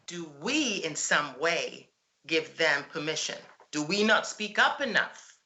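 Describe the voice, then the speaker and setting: A woman's voice: lowish voice, pushed and bright. A woman hosting a talk show, speaking in an outspoken, emphatic way. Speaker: host. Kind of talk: radio talk show conversation.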